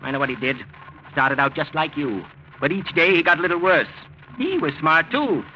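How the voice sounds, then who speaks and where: An American actor playing a stern, wealthy old grandfather in 1940s radio style, gruff and blunt. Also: fairly high pitch, animated, moderate pace, close to an everyday voice. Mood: scolding. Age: older. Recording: noisy muffled 1940s radio recording.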